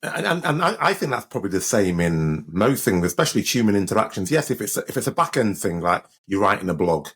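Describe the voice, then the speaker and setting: A man's voice: on the low side, very animated, a little fast, few pauses, fairly bright tone, slightly rough. Stammering man hosting a podcast. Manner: hesitant, stammering restarts. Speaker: podcast host. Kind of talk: conversational interview questions.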